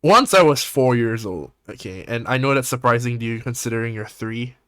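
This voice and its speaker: A young man from Singapore hosting a podcast, chatting casually in a rambling, animated way and swearing often. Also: mid-range voice, fairly quick, clean tone, no echo. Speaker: podcast host. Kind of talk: casual chat.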